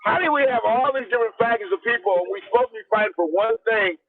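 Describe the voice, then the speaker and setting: A male guest calling in to a casual chat, talking in an animated, profane way with laughing asides. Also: extremely high pitch, racing. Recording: tinny phone line.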